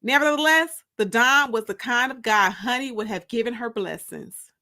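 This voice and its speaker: A woman narrating a first-person story aloud in an expressive, confiding, emphatic way. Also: on the high side, moderate pace.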